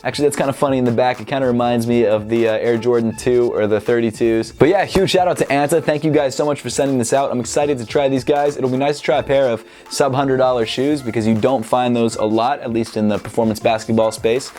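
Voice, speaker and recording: A man in his twenties, slightly hoarse from a cold, chatting upbeat and straight to camera while unboxing clothes on a clip-on mic indoors. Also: mid-range voice, fairly even intonation, fast talker, hardly any pauses, a little mellow. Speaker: sneaker and streetwear vlogger. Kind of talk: casual unboxing vlog. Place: indoor room with sneaker shelves. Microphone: clip-on lapel mic.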